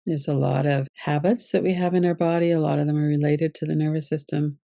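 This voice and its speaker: A woman with a soft-edged, mellow voice and a noticeable creak guides a relaxation meditation. She gives each instruction calmly and gently, without hurry, as a yoga nidra teacher would. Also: deep voice, no echo, clean studio sound. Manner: calm, gentle, unhurried instruction.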